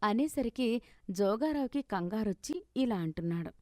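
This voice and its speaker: A woman's voice: fairly high pitch, expressive, normal speed, darkish tone, no echo, studio quality. A woman reading scripted narration for a moral story.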